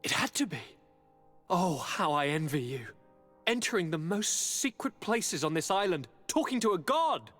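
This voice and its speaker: A charming young nobleman from a video game, courtly and affectionate. His highish voice swings expressively with theatrical eagerness. It rings through a reverberant hall. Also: big pitch swings, moderate pace, a bit bright, full character voice. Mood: fascinated, eager. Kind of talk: dramatic video game voice acting. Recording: reverberant hall, music underneath.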